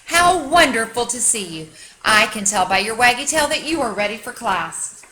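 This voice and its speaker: A woman voicing a sweet, encouraging little yellow bird teacher in a storybook, with a chirpy, singsong, gushing manner. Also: fairly high pitch, lively intonation, moderate pace, slight background noise, poor audio. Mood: delighted.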